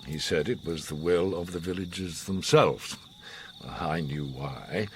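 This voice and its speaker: An actor playing an older, worldly and enigmatic mastermind in a radio drama, speaking in a theatrical, measured, formal and philosophical way with a husky voice. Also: male voice, sits low, a little hiss or hum. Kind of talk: radio drama acting, storytelling monologue.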